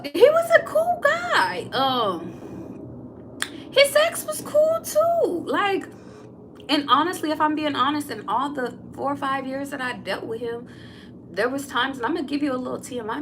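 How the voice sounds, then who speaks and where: A woman's voice: high voice, sing-song, moderate pace, noisy background. A woman, a tarot reader, telling a personal story to her viewers in a blunt, candid way, swearing freely and talking straight to the audience.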